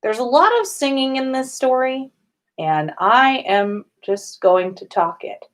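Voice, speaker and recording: A woman hosting a casual story reading, expressive and given to drawn-out emphasis as she narrates and comments. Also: fairly high pitch. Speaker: podcast host reading listener-written fan fiction aloud. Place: own room at home.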